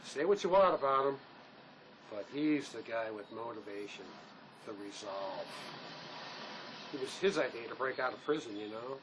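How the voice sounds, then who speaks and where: An actor playing a remorseful escaped convict who questions himself haltingly in naturalistic drama, recorded on location. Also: male voice, lightly acted. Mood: resigned. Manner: searching, self-questioning, halting. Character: escaped convict, brooding, remorseful man wrestling with guilt. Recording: noisy location sound with steady ambient rumble.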